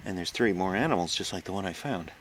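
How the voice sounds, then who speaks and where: An actor playing a frightened reporter recording himself on a secret night stakeout in a horror audio drama, halting and stammering. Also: male voice, low-pitched, lively intonation. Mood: shaken, uneasy. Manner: halting, stammering, gasping exclamations. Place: outdoors on a farm. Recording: noisy outdoor location recording.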